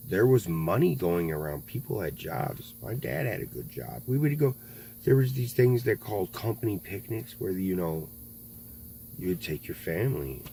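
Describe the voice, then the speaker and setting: A man in his thirties in a rambling political monologue, halting, full of fillers and frequent swearing. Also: on the low side, expressive, vocal fry, dark tone. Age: 30s. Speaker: video blogger. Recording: faint mains hum.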